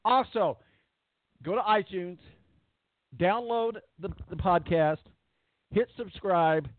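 Man, sports radio host, hoarse voice, rambling with fillers, phone-like dry sound. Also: high-pitched, takes it slowly, dry recording. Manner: rambling, repeats words, many fillers. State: losing his voice. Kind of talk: casual radio show chat. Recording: tinny phone-line quality, dry.

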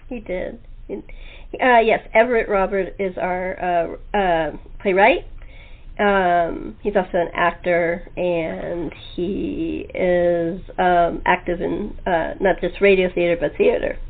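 A woman's voice: lowish voice, steady tone, slow. A woman hosting a casual group interview, chatting informally with her guests as she introduces them. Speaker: host interviewing a radio play cast. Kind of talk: casual chat. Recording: tinny, narrow phone-line sound.

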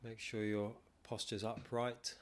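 A man leading a guided meditation as a teacher, calm and measured, leaving long pauses. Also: on the low side. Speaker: meditation teacher, podcast guest. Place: non-soundproofed room.

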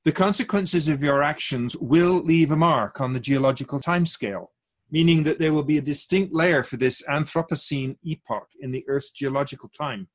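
Man playing a measured, wryly chiding otherworldly keeper. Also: on the high side, natural voice. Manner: measured, didactic, wryly teasing. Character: wise, gently chiding otherworldly keeper of souls. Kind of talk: conversational radio drama acting.

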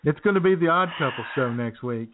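Cynical podcast guy cracking jokes, throat clearing a lot, sounds like it's coming over a phone. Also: on the high side, expressive, fairly quick, slight background noise. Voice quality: wry, self-described cynical, frequent throat-clearing. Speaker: podcast co-host. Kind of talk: casual comedy chat. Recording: tinny phone-like audio.

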